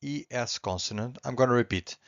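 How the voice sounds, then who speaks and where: Portuguese-accented man, language teacher, patient, reassuring, middle-aged, mid-pitched voice, slightly muffled recording. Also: fairly quick. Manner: patient, reassuring, repeats points, often adds okay. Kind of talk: online language lesson.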